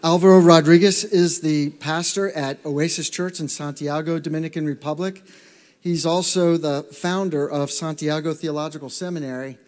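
A man with a fairly high voice hosts a church interview, affirming his guest. Long reverb carries his voice around the sanctuary. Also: darkish tone. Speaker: church pastor. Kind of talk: introducing a guest.

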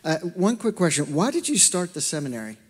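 A man with a fairly high voice hosts a church interview, affirming his guest. Long reverb carries his voice around the sanctuary. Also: sing-song, brisk, slightly rough. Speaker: church pastor. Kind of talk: introducing a guest.

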